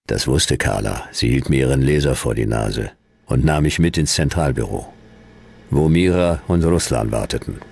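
An actor playing a hardboiled private detective in a sci-fi radio drama, laconic and dry, with a gravelly voice. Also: male voice, very deep voice, lively intonation, normal speed, darkish tone, no echo, heavily acted. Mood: laconic, deadpan. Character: hardboiled male private detective narrating his own sci-fi case. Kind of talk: radio drama narration and dialogue.

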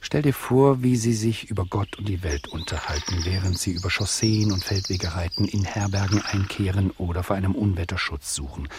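A man plays a wry radio-play narrator. His low voice speaks straight to the listener in polished storytelling, with loud background noise and chirping birds. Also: fairly quick, hardly any pauses, natural voice. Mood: inviting, wry. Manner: leisurely, wry, direct address. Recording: very noisy track with birdsong, clicks.

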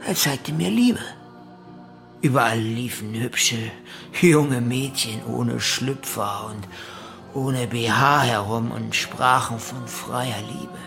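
An actor playing a drifting, self-absorbed ex-convict musician and cult leader, reminiscing in a radio play. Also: male voice, mid-range voice, slow, vocal fry. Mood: wistful, blissful. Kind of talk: German radio play monologue. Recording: music behind the voice.

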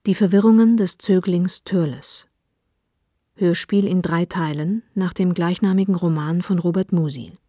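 Female radio drama announcer, scripted delivery, mellow timbre, dry studio sound. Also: lowish voice, normal speed, no echo.

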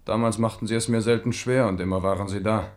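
Low-voiced ageing king, wry man acting. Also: fairly even intonation, fast talker, darkish tone, close to an everyday voice. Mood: wistful musing. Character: ageing, amorous king of France, wry and wistful. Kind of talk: German radio drama acting.

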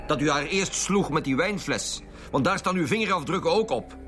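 A man's voice: fairly high pitch, expressive, moderate pace, a bit bright, faint music behind. A Flemish man playing a blunt, impatient lead homicide detective in a radio drama, speaking assertively. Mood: accusing, pressing. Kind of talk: Belgian radio police drama acting.

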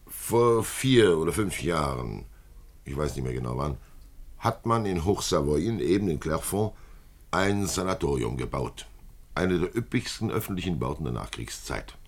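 An extremely deep-voiced police commissioner from a fifties radio crime play. He is blunt and unflappable, weighing out his words in a laconic, matter-of-fact manner. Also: expressive, normal speed, slightly rough, heavily acted. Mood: deliberate, matter-of-fact. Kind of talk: 1950s German radio drama acting.

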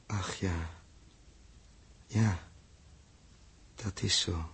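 An actor playing a weary, puzzled man who is gentle with a child, in a hesitant 1960s radio drama delivery. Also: deep voice, fairly even intonation, drawn-out words, creaky, breathy edge, full character voice.

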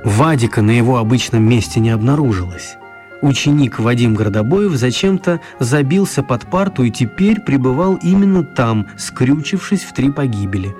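A man narrating a children's radio story as a wry storyteller, expressive and mock-serious, with deliberate pauses. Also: medium pitch, faint music behind, natural voice.

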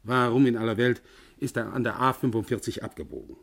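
An actor playing an insistent, self-justifying company boss in a 1960s German radio crime drama, formal and argumentative. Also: male voice, mid-range voice, animated, fairly quick, a bit bright.

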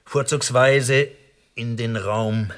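An actor playing a smug, menacing secret agent in a historical radio play, speaking with deliberate, mock-friendly threat. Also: male voice, medium pitch, fairly even intonation, a bit bright. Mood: needling, probing.

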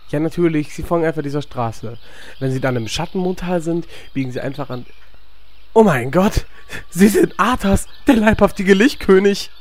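A man playing an excitable, starstruck gamer fan in a parody audio drama, talking in a casual, gushing way. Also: fairly high pitch, normal speed, natural voice. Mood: helpful.

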